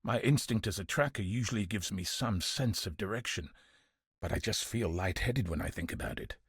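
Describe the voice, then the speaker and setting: An actor playing a gruff, dutiful veteran soldier and tracker in a video game, with a husky voice and a commanding, earnest, formal manner. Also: male voice, fairly low pitch, lively intonation, normal speed, dry recording, studio quality. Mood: measured, wary. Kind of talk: scripted video game dialogue.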